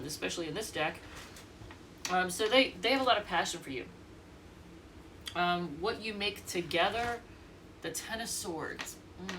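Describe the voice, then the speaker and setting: A woman's voice: fairly low pitch, recorded from a distance, noisy background. A congested woman with a stuffy nose giving a casual, rambling tarot reading, thinking aloud and restarting often. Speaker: tarot reader.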